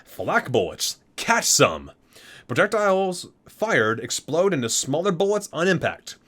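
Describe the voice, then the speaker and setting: A man's voice: fairly high pitch, very animated, a little slow, a bit bright. A man doing an ASMR reading, reciting expressively and dropping in casual, murmured offhand remarks. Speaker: video creator reading aloud from a game guide book.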